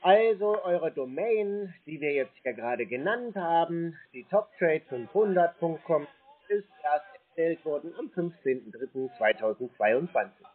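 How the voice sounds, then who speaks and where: A man with a husky voice, talking casually and confrontationally, blunt, insistent and sceptical. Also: fairly high pitch, lively intonation, measured pace, phone-quality sound. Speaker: scam baiter confronting a phone scammer. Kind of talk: casual confrontational phone conversation.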